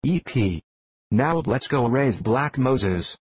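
A man plays a brash young cartoon hero with a husky, everyday voice and blunt, swearing talk. The sound is dry and a bit muffled, like a cheap home mic. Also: mid-range voice, animated, slow, dry recording.

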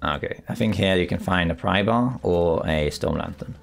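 Very deep raspy man narrating gameplay. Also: normal speed, soft background music. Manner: relaxed, thinking aloud while exploring. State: recovering from a cold. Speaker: gameplay commentator. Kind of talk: calm let's play commentary.

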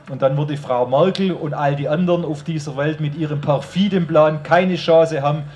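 A man with a Swabian accent and a slightly high voice speaks from an outdoor stage. His delivery is informal and colloquial, as a rally speaker talking straight to the people in front of him through a handheld microphone. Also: a little mellow. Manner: colloquial, addressing the crowd directly. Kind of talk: informal speech at a political rally. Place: outdoor stage under an open tent.

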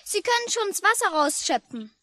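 An actress playing an eager, chirpy child who loves birds, in a 1950s German radio play. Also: female voice, very high-pitched, very animated, normal speed. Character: eager, bird-loving child of lakeside angler. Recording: faintly noisy old radio recording.

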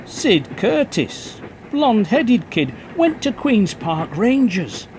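A man's voice: high voice, sing-song, slow pace, a little mellow, slight background noise, full character voice. A man playing an opinionated, scornful football fan, reminiscing in a radio play.

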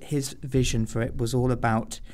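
A man's voice: mid-range voice, flat delivery, moderate pace, darkish tone. A male student giving earnest, considered answers as an interview guest.

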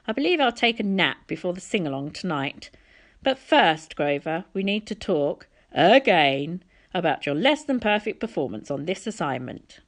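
A woman's voice: lowish voice, sing-song, normal speed. A woman voicing a petulant, pompous, middle-aged male wine god in a storybook reading, whiny and theatrical. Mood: bossy. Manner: pouting, theatrical, sardonic.

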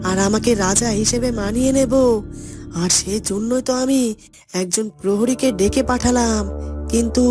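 A woman's voice: fairly high pitch, normal speed, close to an everyday voice. A woman voicing a scheming sorcerer who poses as king in a fairytale cartoon, boastful and commanding. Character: scheming, power-hungry usurper sorcerer posing as king. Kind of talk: dramatic cartoon voice acting. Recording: loud music bed. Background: music.

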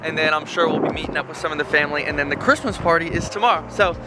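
A man's voice: on the high side, rapid-fire, loud music behind the voice. Young man, casual vlog chatter, camera at arm's length, cheerful. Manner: upbeat, chatty updates to camera. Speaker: vlogger. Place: car and parking lot at night, handheld camera. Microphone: handheld camera at arm's length.

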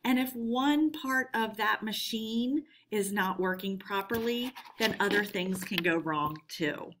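A woman's voice: medium pitch, measured pace, background noise. A woman teacher giving a read-aloud lesson for children in an instructional manner, addressing her pupils directly.

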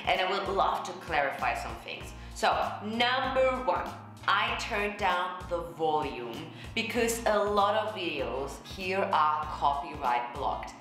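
A young woman vocal coach, a native German speaker, explaining herself in casual commentary to the camera with lively gestures. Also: on the low side, slow pace, soft background music. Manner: animated, gestures a lot, explanatory. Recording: very echoey room.

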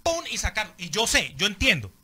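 A man's voice: high voice, animated, brisk, bright, forceful tone. A Colombian man debating casually with friends, insistent and often repeating and restarting his phrases. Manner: insistent, repetitive, starts phrases over. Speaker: podcast panelist. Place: small band rehearsal room with acoustic foam. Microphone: handheld microphone.

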